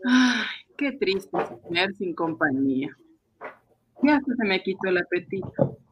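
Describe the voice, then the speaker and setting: A woman acting an affectionate, worried wife and mother in an amateur radio soap, in an expressive, melodramatic way. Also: medium pitch, unhurried, highs cut off, background noise. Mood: wistful, anxious.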